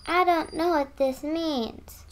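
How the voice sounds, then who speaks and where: A woman's voice: high-pitched, steady tone, takes it slowly. A young female performer playing a chatty, worrying little girl in a radio play. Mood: worried.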